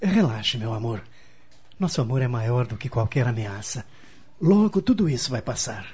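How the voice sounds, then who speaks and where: A man's voice: mid-range voice, big pitch swings, normal speed, a little mellow, breathy edge. A man playing a tender, reassuring husband in a radio soap opera. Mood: soothing. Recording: dull lo-fi radio recording.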